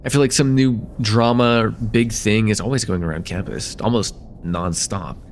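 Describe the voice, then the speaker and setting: A man's voice: fairly low pitch, moderate pace, slight background noise, close to an everyday voice. A young man playing a friendly, slightly nervous college student in a casual, stammering slice-of-life ASMR roleplay chat. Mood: casual, teasing. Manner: hesitant, stammering, self-deprecating, casual.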